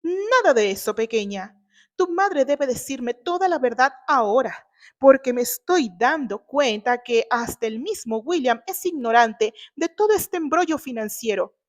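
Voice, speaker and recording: A woman plays a stern older family patriarch in an audio novel. He is gruff and indignant, scolding and demanding answers, in a voice that stays fairly high for such a man. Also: expressive, a little slow. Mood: insistent.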